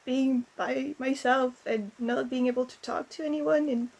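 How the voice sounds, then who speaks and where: Woman acting as a young female ghost, high voice, slow halting delivery, traumatized, lonely, emotional audio drama. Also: steady tone, vocal fry, a little mellow, slightly rough, full character voice. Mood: apologetic, sad. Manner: halting, hesitant storytelling with stammered repetitions.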